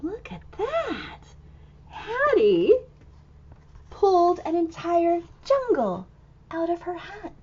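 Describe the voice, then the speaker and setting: A woman storyteller reading a picture book to young children in an animated, exclamatory way, full of expressive wonder. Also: extremely high pitch, slow, pauses often, muffled recording. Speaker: storytime reader and teacher.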